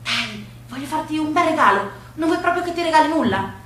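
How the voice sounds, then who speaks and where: A woman on stage voicing an eager, insistent teenage boy gardener, excitable and coaxing. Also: sits high, brisk, voice pushed up. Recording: echoey room with hiss.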